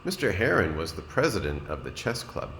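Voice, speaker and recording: Man, kindergarten teacher, storybook read-aloud, very animated intonation, echoey room. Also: low-pitched, vocal fry. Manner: expressive, deliberate, addresses young listeners with questions. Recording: roomy echo, noticeable background noise.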